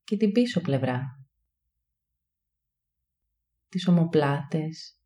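A woman leading a guided body scan meditation in a calm, soothing, hushed way, leaving long pauses between short phrases. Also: sits low.

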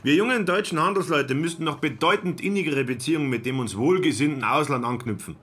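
A man's voice: on the high side, quick pace, fairly bright tone, husky. An actor playing a young, self-important German salesman in a radio play, declaiming formal phrases glibly. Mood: glib, insistent. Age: young adult.

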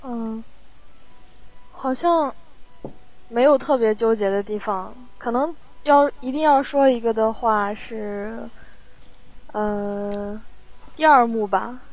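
A woman's voice: fairly high pitch, takes it slowly, pauses often, darkish tone, low-quality recording. A woman working as a sound editor, chatting casually and playfully as she thinks aloud. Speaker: audio drama post-production sound editor. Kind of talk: casual chat.

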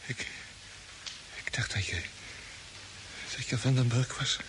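An actor playing a dazed, guileless crewman, halting and bewildered, in an old radio play. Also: medium pitch, whispered, lightly acted. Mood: groggy, confused. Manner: halting, bewildered, repeating words. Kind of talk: mid-20th-century Dutch radio play acting. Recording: hissy old radio drama recording.

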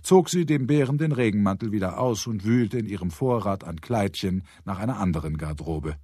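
A man's voice: on the low side, animated, brisk, mellow, breathy edge, dry recording. Dry-recorded male literary narrator. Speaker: audiobook narrator.

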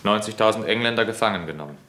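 An actor playing a measured, pedantic man in a radio play, speaking deliberately and correcting others. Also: bright, forceful tone. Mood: matter-of-fact. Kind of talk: German radio play acting. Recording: echoey room, faint rumbling noise.

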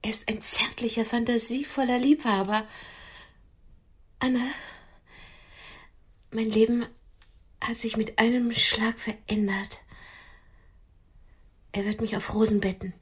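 A lonely woman with a high, breathy-husky voice. She is demanding and theatrical, boasting of romance and dreaming of a prince, in radio drama style. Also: steady tone, a little slow, pauses often. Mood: dreamy, boastful. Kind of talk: radio play acting.

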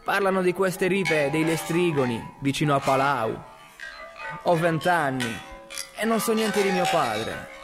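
A man playing a troubled twenty-year-old searching for his lost father in an audio drama, halting and questioning in slow monologue. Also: a little high, animated. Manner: halting, questioning, drawn-out phrases. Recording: voice over prominent music.

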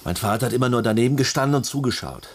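A man's voice: mid-range voice, animated, very fast, a little hiss or hum, lightly acted. An actor playing a man who intimately recalls his past in suspenseful short phrases, in a radio drama. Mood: confiding, bitter. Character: man intimately recalling harsh childhood and night adventure.

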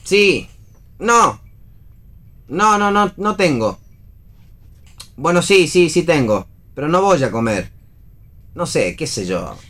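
Wry, animated young Argentine husband. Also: male voice, a little high, slow pace, long pauses, full character voice. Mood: flustered. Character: young husband and actor, wry, sarcastic, jittery. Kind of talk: theatrical play reading for radio.

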